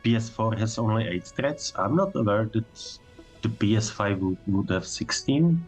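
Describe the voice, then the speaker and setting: A man answering technical questions as a game developer on a casual livestream, speaking halting English with non-native phrasing. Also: fairly low pitch, animated, soft background music, low-quality recording.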